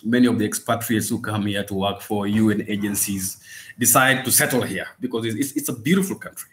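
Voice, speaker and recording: A man from Western Kenya with a Kenyan accent, a native Swahili and Luo speaker, presenting an informal guide for tourists in a halting way with repeated words and pauses. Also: on the low side, fairly bright tone. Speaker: language and culture guide, presenter. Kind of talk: informal explanatory talk to camera for tourists.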